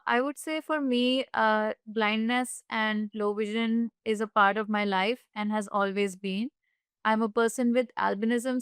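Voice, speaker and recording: A woman from India speaking on a panel in a friendly, measured way. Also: mid-range voice, clean tone, dry recording, clean studio sound. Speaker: assistant professor, panelist. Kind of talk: panel talk.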